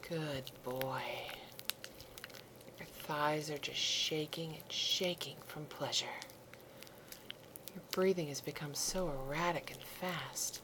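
A woman in an intimate audio roleplay, speaking in a soft, coaxing way that often drops to a whisper. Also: sits low, fairly even intonation, takes it slowly, pauses often, noisy background.

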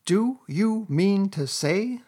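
A man voicing an excitable, impatient rat in a storybook, kindly but bossy, with an urgent, exclamatory manner. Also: fairly high pitch, moderate pace, pitched above the performer's own voice. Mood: excited triumph. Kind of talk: storybook character voice.

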